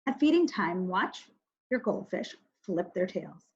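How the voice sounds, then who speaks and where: A woman's voice: mid-range voice, very animated, a little slow, vocal fry, muffled recording. A woman kindergarten teacher giving a recorded reading lesson, speaking simply and instructively straight to young children, with questions and thinking aloud.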